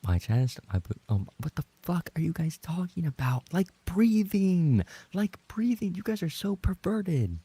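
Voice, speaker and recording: A man's voice: high voice, sing-song, unhurried, hardly any pauses, dark tone, slightly rough, no room sound. A man livestreaming and chatting casually with his viewers, bantering in a playful, mock-indignant way. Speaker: live streamer and voice actor.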